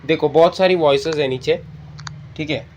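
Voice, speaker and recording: A man with an Indian accent and a slightly high-set voice presents a casual tutorial. He explains each step conversationally. He keeps checking in with the listener as he goes. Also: normal speed, lo-fi sound, very noisy. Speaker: tutorial host.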